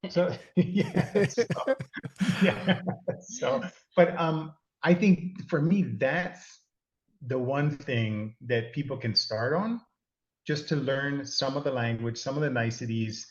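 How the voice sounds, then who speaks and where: A man's voice: medium pitch, slow pace, reverberant, muffled recording. A middle-aged man from California, a guest giving rambling, self-deprecating advice in a casual chat. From California, USA. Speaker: interview guest.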